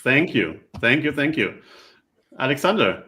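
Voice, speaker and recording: A man's voice: mid-range voice, sing-song, unhurried, sharp tone. A man hosting a livestream panel over a video call, friendly and rambling, with many fillers. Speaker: livestream host.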